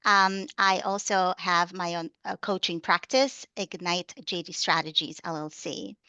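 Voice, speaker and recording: Woman, native Russian speaker, career coach on a panel; bright, crisp tone, dry close sound. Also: on the low side, fairly even intonation, unhurried, no echo. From former USSR. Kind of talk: panel self-introduction.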